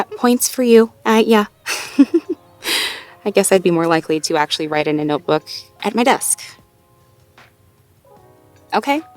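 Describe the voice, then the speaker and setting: A woman's voice: mid-range voice, no room sound, lightly acted. A woman playing a sweet, self-doubting, playfully wry girlfriend in an intimate audio roleplay, talking casually and conversationally with a partner. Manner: conversational, casual, with sighs and soft chuckles. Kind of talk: intimate slice-of-life audio roleplay acting, one-sided conversation.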